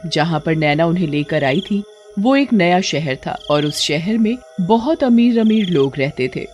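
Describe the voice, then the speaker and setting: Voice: mid-range voice, expressive, unhurried, a little mellow, highs cut off, soft background music. A storyteller reading scripted horror story narration.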